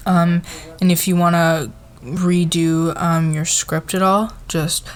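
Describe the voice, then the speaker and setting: A woman playing a friendly, encouraging boss in an ASMR roleplay, talking to a team in a halting, soft-spoken office manner. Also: fairly low pitch, steady tone. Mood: appreciative, encouraging.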